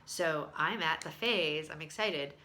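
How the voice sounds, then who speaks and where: A woman's voice: low voice, unhurried, pushed and bright. A woman who sounds congested, giving a casual video diary update with lots of fillers and restarts. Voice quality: congested, nasal. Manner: chatty, with many fillers and restarts. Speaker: vlogger sharing a health diary.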